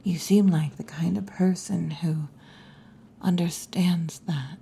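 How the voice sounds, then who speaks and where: Woman acting as tomboy wolf girl bartender, ASMR roleplay, slow breathy whispers, dark mellow tone, teasing, faint background noise. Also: fairly low pitch, steady tone. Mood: intimate, probing. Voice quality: breathy, mostly whispered or half-whispered. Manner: slow, hushed, teasing, with long pauses. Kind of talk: ASMR roleplay, intimate scripted monologue. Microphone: close ASMR microphone.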